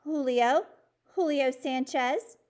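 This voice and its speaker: A woman's voice: high voice, takes it slowly, a little mellow, voice pushed up. A woman reading the part of an earnest schoolboy who speaks up emphatically in a children's storybook. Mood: polite, earnest. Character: Julio, earnest schoolboy speaking up bravely to principal.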